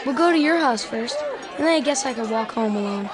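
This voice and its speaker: A woman's voice: fairly high pitch, lively intonation, fairly quick, over a noisy crowd, lightly acted. A woman voicing a wary, wisecracking ten-year-old boy in an audio drama. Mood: uneasy.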